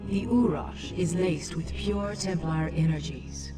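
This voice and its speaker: An actress playing an ancient, wise alien matriarch in a video game, speaking in formal, solemn, measured oratory. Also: female voice, lowish voice, lightly acted. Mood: grave, commanding. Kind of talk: scripted video game dialogue. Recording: loud music behind.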